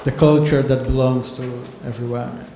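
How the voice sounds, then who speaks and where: A man from Brazil whose first language is Portuguese, speaking English in a relaxed way while searching for words. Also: mid-range voice, moderate pace. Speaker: workshop participant. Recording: echoey hall with background noise.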